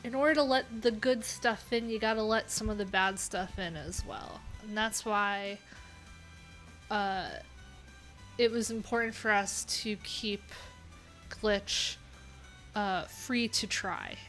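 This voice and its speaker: A woman's voice: mid-range voice, fairly even intonation, slow pace, fairly bright tone, faint music behind. A woman hosting a casual coding livestream, talking candidly in a rambling way with lots of filler words. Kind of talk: casual live-stream chat.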